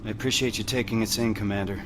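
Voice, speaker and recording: An actor playing a weary, blunt military squad leader in a video game cutscene. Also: male voice, lowish voice, fairly even intonation, fast, close to an everyday voice. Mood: weary, irritated. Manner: blunt, commanding. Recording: heavy vehicle and outdoor noise behind.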